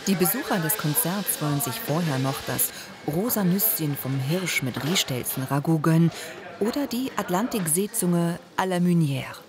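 A woman reading a documentary voice-over in a calm, even way, with some lines voicing over an interview subject. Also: sits low, moderate pace, a crowd in the background. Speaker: documentary narrator. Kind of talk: scripted voice-over narration. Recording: voice-over with music bed.

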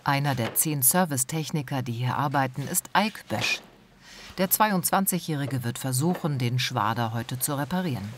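A woman narrates a television report in an even, informative voice. The read is measured and unhurried, with a steady hiss under it. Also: extremely low pitch. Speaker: documentary narrator. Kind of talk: scripted voice-over narration.

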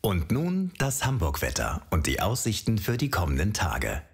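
A man's voice: deep voice, huge pitch swings, normal speed, creaky, husky, no room sound. A man reading promo voice-overs as a television station announcer. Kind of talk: promo voice-over. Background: music.

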